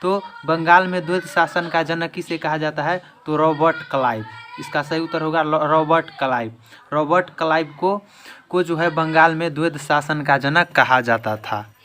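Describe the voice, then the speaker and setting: A man's voice: on the high side, steady tone, moderate pace, bright, forceful tone, a little hiss or hum. A man giving a quiz-style history lesson as an exam-prep teacher, restating each question and answer.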